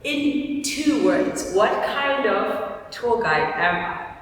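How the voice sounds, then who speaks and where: A woman's voice: on the high side, animated, extremely slow. A woman hosting a casual chat in a playful, teasing, self-deprecating way. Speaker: vlog host. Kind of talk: casual chat to camera and guest. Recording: distant echoey room, faint noise.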